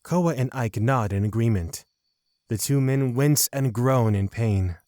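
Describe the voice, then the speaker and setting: Dry, mid-pitched male story narrator. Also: unhurried, dark tone, dry recording.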